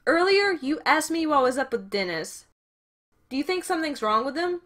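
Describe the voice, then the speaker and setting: Casting: woman to play frank young female crew member, high-pitched, protective and casual, podcast drama. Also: big pitch swings, normal speed, fairly bright tone. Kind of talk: audio drama acting.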